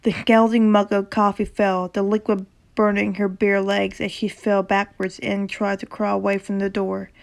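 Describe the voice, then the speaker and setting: Woman with a sore, creaky throat. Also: mid-range voice, level tone, moderate pace, few pauses. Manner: stumbles and corrects herself while reading; reacts casually between passages. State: sore throat, slight rasp. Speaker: horror story reader. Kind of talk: casual horror story reading.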